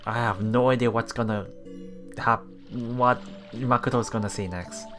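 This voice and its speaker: A woman casually reading and reacting to a visual novel as a let's play streamer, giggly, excitable and expressive. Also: extremely low pitch, moderate pace, faint music behind.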